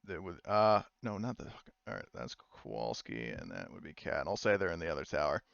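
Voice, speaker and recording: A man's voice: very deep voice, sing-song, brisk, gravelly, a bit bright, dry recording. A man acting as game master, casually narrating a tabletop game in informal language over lo-fi audio.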